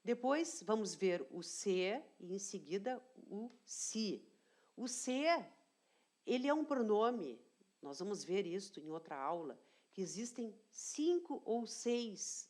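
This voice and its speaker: A woman teacher explaining grammar in an online lesson, deliberate and repeating key phrases, often checking in with her students. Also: medium pitch, big pitch swings, darkish tone. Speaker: language teacher.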